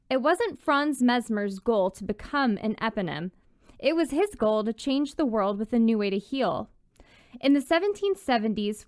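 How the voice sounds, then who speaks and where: A woman's voice: on the high side, no echo, clean studio sound. A woman reading a scripted history story in a conversational, explanatory way. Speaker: guest author and storyteller.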